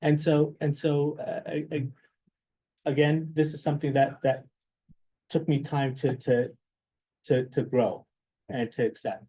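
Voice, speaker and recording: A man giving reflective advice as a guest speaker, in a halting way that repeats words as he thinks. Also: on the high side, level tone, dark tone, slightly rough. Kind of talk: reflective advice in an informal talk.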